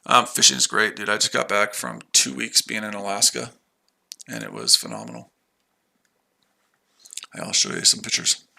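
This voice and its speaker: American guy trading live, super gravelly, sharp bright voice, rambles and chats w viewers. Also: on the low side, steady tone, normal speed, long pauses. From United States. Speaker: livestream trader. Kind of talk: casual livestream chat.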